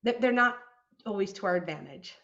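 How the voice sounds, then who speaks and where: A woman in her forties co-hosting a podcast, talking in a reflective, animated way about self-awareness. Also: medium pitch, a little fast, dull audio. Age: 40s. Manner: reflective, animated storytelling with laughs. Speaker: podcast co-host. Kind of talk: casual conversation. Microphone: video call.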